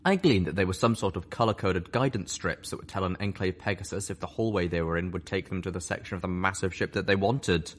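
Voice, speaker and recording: A man narrating a fan-fiction audiobook with an expressive, animated reading. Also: low-pitched, fast talker, few pauses. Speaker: story reader.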